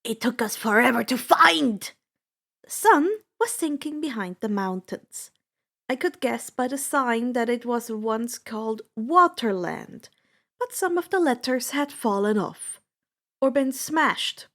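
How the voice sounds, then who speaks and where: A woman narrates a story in a fairly high voice with very lively intonation. She takes her time, reading like an amateur audiobook host with a theatrical streak. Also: unhurried. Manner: theatrical, acts out dialogue. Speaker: host reading fan fiction aloud on own channel.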